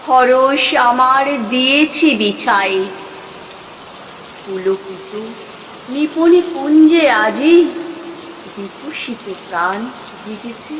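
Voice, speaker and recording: A woman gives an expressive poetry recitation, with dramatic, drawn-out phrasing and deliberate pauses. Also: fairly high pitch. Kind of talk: expressive Bengali poem recitation. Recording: long hall reverb, clear background noise.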